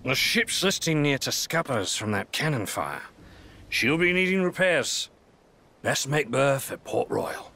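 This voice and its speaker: An actor playing a roguish, boastful pirate captain in a video game, with a swaggering, theatrical manner and winding phrases. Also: male voice, medium pitch, sing-song, a little slow, creaky, bright, forceful tone, heavily acted. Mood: brisk, offhand. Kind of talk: video game cutscene acting.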